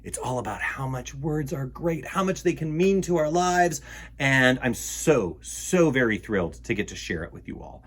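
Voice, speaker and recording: A man's voice: medium pitch, normal speed. A man hosting a children's storytime, reading a picture book aloud and chatting playfully and theatrically to young listeners. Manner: animated, theatrical, playful exclamations and gasps; addresses young listeners directly. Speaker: host of a children's storytime show.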